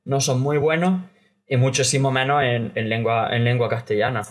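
A man co-hosting a talk podcast from China, explaining his views in a measured way with frequent restarts. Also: medium pitch, fairly even intonation. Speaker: podcast co-host living in China. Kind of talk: casual panel discussion.

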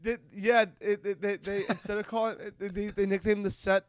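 A man's voice: sits high, little pitch movement, moderate pace, smooth, no echo, clean studio sound. A man hosting a casual, comedic podcast chat, stammering and repeating his phrases as he goes. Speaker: podcast host.

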